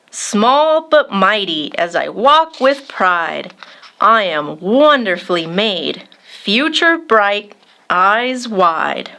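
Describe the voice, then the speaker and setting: A woman hosting a children's storytime, reading a picture book aloud in an expressive, measured way addressed to young listeners. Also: mid-range voice.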